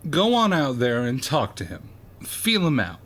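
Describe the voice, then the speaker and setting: A man's voice: mid-range voice, wildly swooping pitch, a little slow, a bit bright, full character voice. A man playing a wary, protective small-town diner cook in an audio drama, suspicious and terse.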